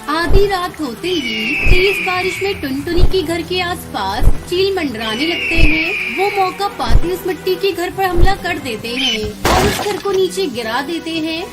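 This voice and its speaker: A woman reading scripted narration for a children's cartoon story. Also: very high-pitched. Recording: music under the narration.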